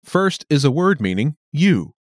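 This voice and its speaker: A man hosting a scripted language lesson with clear, upbeat announcer delivery. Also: on the high side, very animated, a little slow, no room sound, clean studio sound. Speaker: lesson host.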